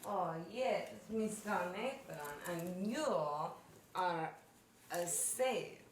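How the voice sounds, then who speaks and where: Woman acting a gushing, slow-talking Russian maid, roomy recording. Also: mid-range voice, lively intonation. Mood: gushing, adoring. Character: flirty, adoring Russian maid, grandiose and bawdy. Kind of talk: bawdy sketch comedy acting. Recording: roomy, distant, noisy room recording.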